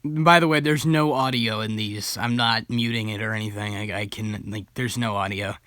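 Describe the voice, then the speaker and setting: A young man with a bright, forward voice recorded close and dry. He talks casually as a video host reacting to footage, informal and amused, gently poking fun at himself. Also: lowish voice, fairly even intonation, normal speed, dry recording. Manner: informal, amused, self-deprecating.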